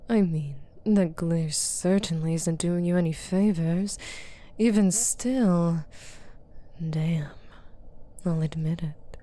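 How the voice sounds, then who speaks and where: A woman voicing a stern, taunting female demon captor in an ASMR roleplay, authoritative and teasing, often dropping to a breathy murmur. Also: low-pitched, warm tone. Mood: mocking, admiring. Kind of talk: ASMR roleplay monologue to the listener.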